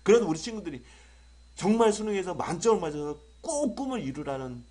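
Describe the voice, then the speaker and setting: A young adult male English tutor with a sore throat from a cold, lecturing in an excitable, exaggerated way full of rhetorical questions. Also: high-pitched, a little fast. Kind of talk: online exam-prep lecture.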